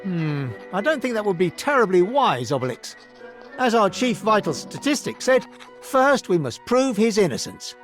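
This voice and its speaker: A man's voice: high voice, big pitch swings, moderate pace, faint music behind. A man voicing a shrewd, confident little warrior hero in a cartoon game, speaking politely and articulately. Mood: determined. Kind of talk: animated cartoon game voice acting.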